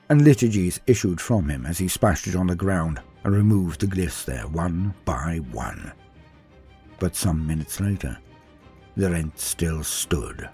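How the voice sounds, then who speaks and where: A man's voice: sits low, darkish tone. A man delivering dramatic scripted narration in a theatrical, grandiose manner as a lore host.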